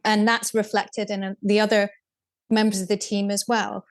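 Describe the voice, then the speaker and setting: A woman speaking as a podcast guest, explaining things in a measured way with frequent restarts. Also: medium pitch, level tone. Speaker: guest, deputy programme director. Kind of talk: casual podcast conversation.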